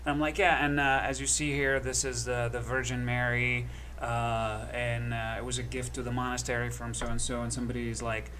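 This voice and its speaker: A man from Egypt, a guest comedian telling a story on a casual podcast in a relaxed way, with many fillers. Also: medium pitch, moderate pace, hardly any pauses, slight background noise. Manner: relaxed, anecdotal, filler-heavy.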